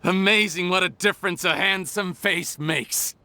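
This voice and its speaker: An actor playing a bitter, scornful criminal in a video game, with a sardonic, theatrical delivery. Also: male voice, sits high, normal speed, pushed and bright, slight background noise. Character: bitter, scornful male criminal, desperate and self-pitying. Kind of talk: dramatic video game dialogue.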